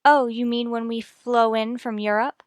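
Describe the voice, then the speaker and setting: A woman's voice: a little high, fairly even intonation, normal speed, no echo, natural voice. An actress playing an anxious but determined young married mother in a vintage radio soap, speaking formally and politely in a dry recording. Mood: composed, motherly.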